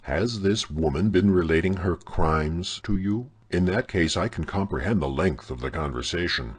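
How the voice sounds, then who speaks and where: A man's voice: low-pitched, animated, normal speed, breathy edge. A man voicing a stern, suspicious nobleman who speaks in an ironic, contemptuous way, in a storybook reading.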